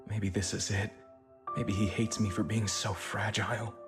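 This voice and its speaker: A man's voice: lowish voice, little pitch movement, moderate pace, over loud music, lightly acted. A man playing an insecure, earnest young man in love in a dubbed romantic drama, hesitant, fragile and pleading. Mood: anxious, wounded.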